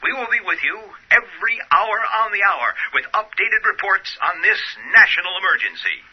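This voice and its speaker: An actor playing a formal emergency newsreader delivering an urgent bulletin in a radio play. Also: male voice, high-pitched, expressive, husky. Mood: grave, official. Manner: formal, measured announcing. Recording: muffled, narrow radio-broadcast sound.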